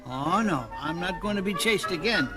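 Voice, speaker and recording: A man voicing a silly, wisecracking, cowardly bald stooge in a slapstick cartoon, with a squeaky, tense voice and clownish delivery. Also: animated, moderate pace, music in the background. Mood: scared. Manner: goofy, clownish joking. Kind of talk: slapstick cartoon comedy acting.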